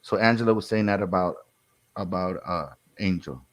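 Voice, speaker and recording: A man co-hosting a livestream in an informal, halting way, chatting casually and reading out comments. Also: low voice. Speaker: co-host.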